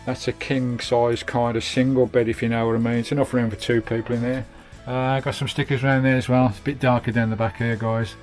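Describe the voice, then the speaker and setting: British male vanlife vlogger, mid-pitched, casual and chatty, slangy matey delivery, music in the background. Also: flat delivery, fairly quick, few pauses, darkish tone. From United Kingdom. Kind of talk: casual hands-on video tour and fitting commentary. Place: inside a small campervan.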